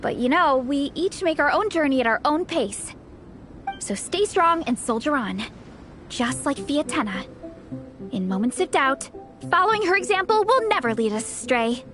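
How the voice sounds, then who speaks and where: Bright-eyed, high-voiced young heroine. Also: female voice, lively intonation, moderate pace, soft background music. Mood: encouraging. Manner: cheerful, gentle, earnest cheerleading. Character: upbeat, encouraging young athletic heroine and mentor. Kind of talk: anime video game voice acting.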